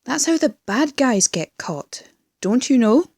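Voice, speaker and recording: A woman acts out a scheming female crime boss in an audio drama, speaking slowly in a close, dry sound. She is commanding and sardonic, used to being obeyed. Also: fairly high pitch, sing-song, darkish tone, breathy edge, no echo, heavily acted. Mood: smug. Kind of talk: fan audio drama acting.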